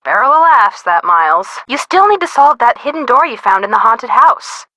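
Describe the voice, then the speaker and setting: Bubbly American teen girl sidekick, high-pitched, very animated, nosy, easily spooked, playful, eager, video game hint dialogue. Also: moderate pace, dry recording, full character voice, low-quality recording. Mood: playful, helpful. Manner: playful, eager, dramatic delivery.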